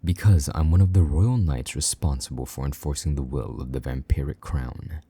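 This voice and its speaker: A man playing a possessive, jealous vampire knight in an intimate audio roleplay, haughty and condescending, with a husky voice that slips toward a whisper. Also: extremely low pitch, mellow, full character voice. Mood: stern, threatening. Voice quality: husky, breathy, half-whispered at times. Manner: condescending, slow-burning menace, mock-sweet endearments.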